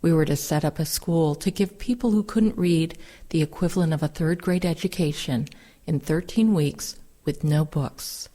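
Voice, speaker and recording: Female narrator, soft dark tone, slightly low, reading oral history. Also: normal speed. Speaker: reader of veterans' recollections.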